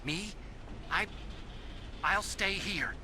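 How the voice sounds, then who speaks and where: A man playing an earnest, gentle and slightly nervous computer expert in a video game drama. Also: high voice, extremely slow, noisy background. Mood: resolved. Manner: earnest, hesitant. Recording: music behind the voice. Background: game score.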